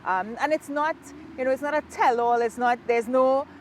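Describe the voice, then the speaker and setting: A woman from Trinidad and Tobago with a Trinidadian accent, an author talking about her book in an outdoor interview in a park, reflective and quick to laugh. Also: high voice, over a noisy crowd. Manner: warm, reflective, laughs easily. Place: outdoors in a park, rain falling.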